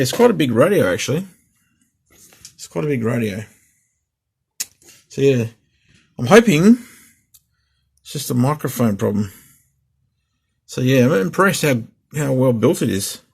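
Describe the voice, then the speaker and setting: A man's voice: medium pitch, vocal fry, darkish tone, slightly rough. A middle-aged man chatting casually through a radio unboxing and repair, rambling with fillers and restarts. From Australia. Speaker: hobbyist vlogger.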